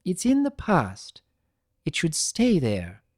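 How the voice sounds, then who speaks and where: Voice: mid-range voice, wildly swooping pitch, takes it slowly, a little mellow, dry recording, studio quality, pitched above the performer's own voice, exaggerated voice. A performer voicing an elegant, proud and guarded female unicorn pony in a cartoon fan story, with refined, theatrical and precise diction. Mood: firm, pained.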